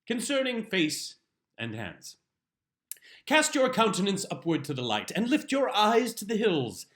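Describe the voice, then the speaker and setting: A man's voice: medium pitch, bright, forceful tone. A man performing a comic reading as a stern, exasperated father who proclaims household laws in pompous, solemn, mock-scriptural style. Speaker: reader performing a humorous essay.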